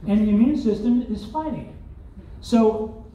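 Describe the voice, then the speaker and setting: A man's voice: high voice, normal speed, mellow, slight background noise. Older man giving a keynote in an echoey hall. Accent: Southern US. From Arkansas, US. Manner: conversational, rhetorical questions, wry asides. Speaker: keynote speaker. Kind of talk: conference keynote speech. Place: large hotel conference hall. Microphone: far phone camera in audience. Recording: distant echoey hall, dull audience phone recording.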